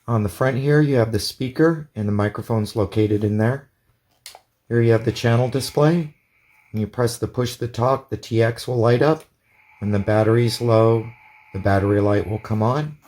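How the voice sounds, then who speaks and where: A man's voice: mid-range voice, moderate pace, darkish tone. A man giving a casual hands-on product demonstration in a plain, methodical, step-by-step way. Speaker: product reviewer.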